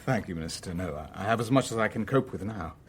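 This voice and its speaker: A man's voice: deep voice, lively intonation, fast, slight background noise. An actor playing a worldly, wry Levantine businessman who narrates a radio drama in a dry, clipped way. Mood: polite, guarded. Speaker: narrator and lead character. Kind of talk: radio drama first-person narration and dialogue.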